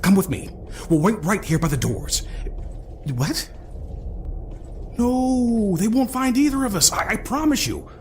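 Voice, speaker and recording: A man's voice: high-pitched, big pitch swings, unhurried, pauses often, a little hiss or hum. A man playing a genial, chatty, centuries-old human rescuer in an audio drama, wordy and self-assured, often breaking off mid-thought. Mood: reassuring, brisk. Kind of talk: audio drama, one-sided dialogue acting.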